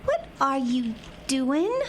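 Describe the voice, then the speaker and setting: A woman's voice: high-pitched, very animated, a little hiss or hum, full character voice. A woman playing a sassy, reluctant young Texas bride with a folksy Southern accent in a radio drama. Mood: exasperated. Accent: Southern US, Texan. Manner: folksy, exclamatory, drawn-out delivery. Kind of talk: audio drama acting.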